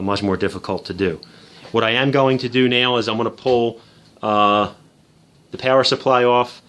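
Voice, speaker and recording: A man's voice: on the low side, fairly bright tone. A man giving a casual, unscripted hobbyist demonstration in a methodical, matter-of-fact, explanatory way. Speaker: hobbyist presenter showing a homemade radio power box.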